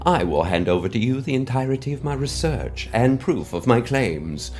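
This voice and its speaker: A man playing a pompous, mysterious benefactor who delivers a wordy, theatrical comic monologue with dramatic pauses. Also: mid-range voice, lively intonation, darkish tone, faint music behind, natural voice. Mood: grandiose, secretive. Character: mysterious pompous anonymous benefactor, male, speaking on cassette.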